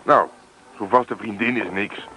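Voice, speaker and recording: A man's voice: lowish voice, a little slow, pushed and bright. An actor playing a breezy, blunt, carefree male friend in a radio play. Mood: breezy, dismissive. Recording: dull, noisy old radio recording.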